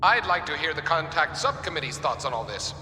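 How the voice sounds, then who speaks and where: Game politician: skeptical, pointed, very bright tone, long reverb. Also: male voice, on the high side, very animated, brisk, a little hiss or hum, full character voice. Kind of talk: video game cutscene acting. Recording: echoey hall with long reverb.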